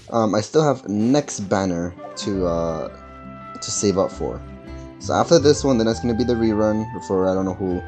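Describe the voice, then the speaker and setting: Low voiced guy streamer rambling casually, laid-back, game music behind him. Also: a little mellow.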